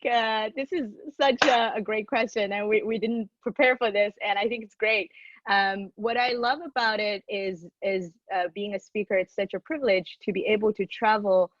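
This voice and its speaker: A woman's voice: mid-range voice, no echo. Woman on a video call, dry muffled sound, lots of uhs. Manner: hesitant, frequent fillers. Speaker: design speaker. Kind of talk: casual panel chat.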